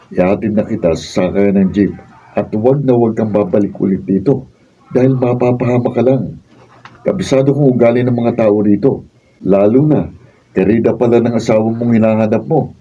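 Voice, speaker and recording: A man acting a protective working man giving gruff warnings in a radio drama. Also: medium pitch, normal speed, warm tone, natural voice. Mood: concerned, warning. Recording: distant, muffled raw studio video.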